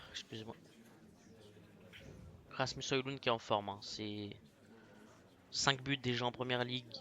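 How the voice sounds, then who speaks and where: A man's voice: medium pitch, fairly even intonation, takes it slowly, long pauses, pushed and bright. A man from France with a cold, casually commenting on a football management game with lots of fillers and muttered asides. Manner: chatty, many fillers, drops to mutters while navigating menus. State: has a cold. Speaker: gaming YouTuber.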